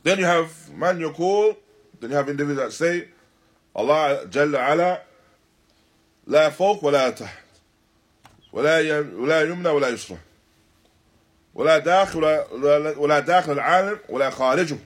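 A man teaching in a religious lecture, deliberate and emphatic, repeating and rephrasing his phrases as he explains a text. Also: a little high, expressive. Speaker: religious teacher giving a lesson. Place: Islamic centre, live stream.

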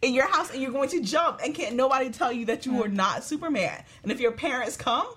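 Husky-voiced woman chatting as a show guest. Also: high-pitched, lively intonation, a little fast, fairly bright tone. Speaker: talk show guest, entrepreneur. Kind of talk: casual conversational storytelling.

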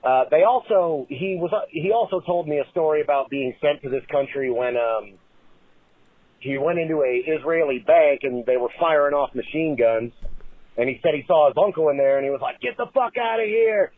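A man calling in to leave a rambling phone message, halting with many fillers and restarts. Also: a little high, no echo. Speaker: caller. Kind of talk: recorded voicemail story. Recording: tinny phone line.

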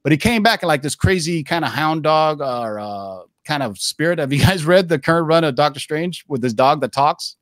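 Animated, rambling man hosting a chat. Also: on the high side, moderate pace, few pauses, dry recording. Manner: animated, rambling, says "man" and "dude" a lot. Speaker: podcast host. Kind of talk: casual chat.

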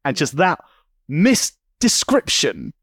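A man's voice: a little high, slow pace, breathy edge, no room sound, studio quality. A man co-hosting a podcast, chatting casually and acting out stories with quoted voices. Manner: animated storyteller, acts out quoted voices. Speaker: podcast co-host. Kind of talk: casual chat.

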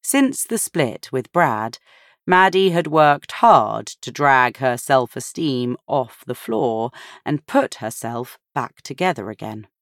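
A woman narrating a novel in a measured, expressive storytelling manner. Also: very deep voice, no echo, studio quality. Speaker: audiobook narrator.

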